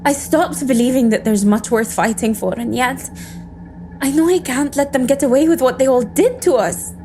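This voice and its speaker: A woman as an embittered, defiant agent, fairly high-voiced. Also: normal speed, dark tone, faint music behind, close to an everyday voice. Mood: weary, grieving. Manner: world-weary, intense, sardonic. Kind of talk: scripted audio drama monologue and dialogue.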